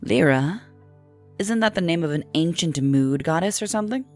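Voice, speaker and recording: A woman narrator puts on a brash alien rebel woman in a low-pitched voice. She is laid-back and blunt, teasing in casual slang, as in a science fiction audiobook. Faint music plays underneath. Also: lively intonation, normal speed, a little mellow. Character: brash, laid-back alternative brown-furred female alien.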